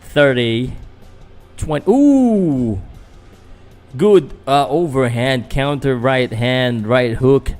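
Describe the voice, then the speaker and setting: A man's voice: medium pitch, expressive, very slow, darkish tone, soft background music. A young man from the Philippines giving loose live commentary on a boxing fight, full of fillers, chatting with viewers as he goes. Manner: casual, hesitant, many fillers, answers chat. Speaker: boxing commentator and channel host.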